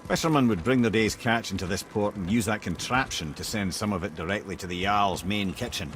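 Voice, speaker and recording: An actor playing a wry, learned older storyteller in a video game, with a Scottish accent and eloquent, theatrical, old-fashioned speech. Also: male voice, fairly low pitch, brisk, a bit bright, slight background noise, natural voice. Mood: explaining. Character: wry, learned talking head, older male storyteller advisor.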